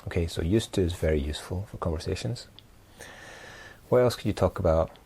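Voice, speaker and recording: A man from Scotland teaching an informal English lesson, speaking haltingly and giving simple example phrases. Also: low voice, mellow, husky. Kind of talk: informal language lesson to camera.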